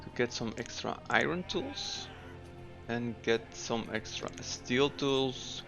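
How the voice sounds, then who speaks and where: A man narrates a city-building game in a mid-pitched, everyday voice, thinking aloud at an unhurried pace with plenty of ums and uhs. Soft game music plays faintly beneath his commentary. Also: lively intonation, vocal fry, muffled recording. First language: Dutch. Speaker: gameplay commentator. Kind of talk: casual let's-play commentary.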